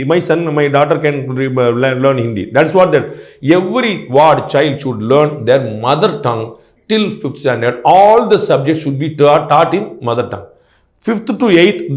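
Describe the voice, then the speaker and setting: A man's voice: a little high, echoey room. Male interview guest, pointed and repetitive, with many fillers and restarts. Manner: rhetorical questions, frequent fillers and restarts. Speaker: political guest commentator. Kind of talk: interview answers, argumentative.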